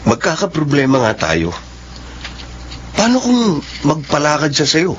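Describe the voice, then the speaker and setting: An actor playing an earnest young boyfriend who asks anxious questions. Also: male voice, a little high, huge pitch swings, a little slow. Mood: worried. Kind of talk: radio drama acting. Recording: dull lo-fi sound with faint noise.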